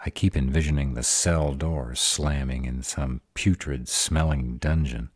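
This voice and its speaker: Fantasy roleplay were-dragon, male actor: rumbling very low, husky, grumbly and easily sidetracked. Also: fairly even intonation, a little slow, no echo, studio quality. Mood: distracted, grumbling. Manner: grumbling, conversational asides. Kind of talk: audio roleplay fantasy drama.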